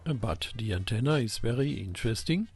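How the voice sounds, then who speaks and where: A man's voice: mid-range voice, animated, moderate pace, darkish tone, husky, faint music behind. A man giving a methodical, scripted technical explanation as the host of an electronics channel.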